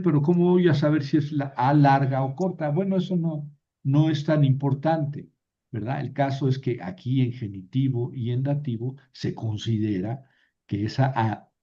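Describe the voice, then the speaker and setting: Patient man teaching grammar, lo-fi sound. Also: medium pitch. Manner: patient, explains step by step and repeats key rules; asks and answers his own questions. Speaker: Greek teacher. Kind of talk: recorded grammar lecture. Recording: dull lo-fi sound.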